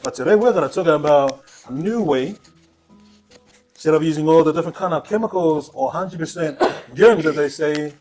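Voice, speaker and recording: A man from Samoa acting as co-host at home, asking his wife questions in a halting, playful way, often repeating himself. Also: on the high side, a little mellow, soft background music, low-quality recording. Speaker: co-host, interviewer. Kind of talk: casual chat.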